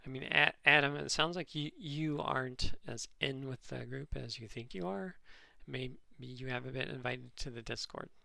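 A man with a nasal voice, hosting his channel and replying to comments in a halting, dryly sarcastic way, full of ums and restarts. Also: medium pitch, gravelly, pushed and bright, no room sound. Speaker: channel host responding to comments. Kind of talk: casual commentary reading comments aloud.

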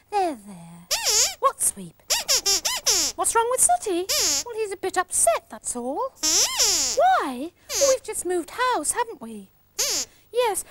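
Sue, a fussy panda. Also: female voice, very high-pitched, background noise, heavily acted. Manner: prim and scolding, fussing over others. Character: fussy, motherly young female panda puppet. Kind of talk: children's puppet show acting.

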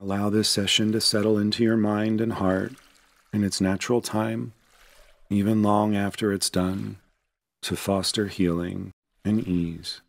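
A man narrating a guided meditation in a gentle, unhurried, soothing manner. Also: fairly low pitch, creaky, dry recording.

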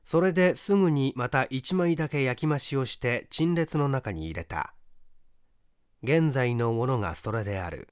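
A man reading a mystery story as a narrator, in a measured, dramatic literary storytelling manner. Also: medium pitch, animated, clean tone, dry recording, studio quality. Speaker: audiobook narrator, stage actor.

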